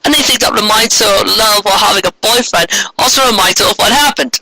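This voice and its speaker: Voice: medium pitch, fast talker, sharp tone, dry recording, poor audio. A performer voicing an earnest, remorseful teenage girl magical heroine in a dramatic fan fiction reading. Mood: sad, explaining.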